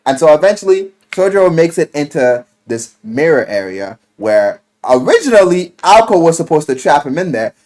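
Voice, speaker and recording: A man telling a story casually in slang and swearing, as an animated video game reviewer who acts out what people say. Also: fairly high pitch, moderate pace, few pauses. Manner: animated storyteller, acting out quoted lines.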